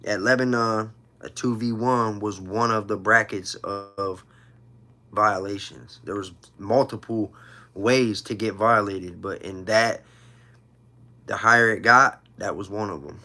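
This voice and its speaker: A man's voice: lowish voice, steady tone, a little slow, sharp tone. A man in his thirties hosting a casual livestream, telling personal stories in informal street slang and repeating himself for emphasis. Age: 30s. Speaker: livestream host.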